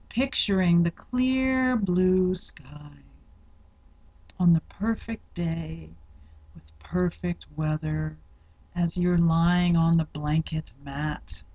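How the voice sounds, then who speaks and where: A woman leading a guided yoga nidra meditation, giving instructions in a measured, deliberate way with spaced-out phrases. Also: on the low side, a little mellow, dull audio.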